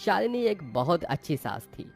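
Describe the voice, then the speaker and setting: A man's voice: a little high, sing-song, moderate pace, creaky, soft background music. A man narrating scripted moral tales for a cartoon as the storyteller.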